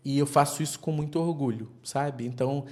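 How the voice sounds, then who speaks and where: An earnest man talks through his thoughts at length as a podcast guest. His voice sits at an ordinary pitch, and he builds slow, heartfelt points around vivid images. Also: darkish tone. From Brazilian Northeast. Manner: earnest, speaks in extended metaphors. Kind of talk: reflective interview talk.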